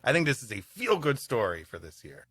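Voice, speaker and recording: A man's voice: mid-range voice, huge pitch swings, a little fast, fairly bright tone, husky, dry recording. A man co-hosting a podcast in casual comic banter, joking in a mock-serious way. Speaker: podcast co-host.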